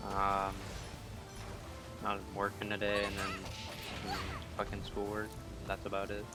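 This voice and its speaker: A man's voice: fairly low pitch, completely flat delivery, normal speed, over loud music. A young man chatting casually on a game livestream, blunt and swearing freely. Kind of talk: casual gaming chat.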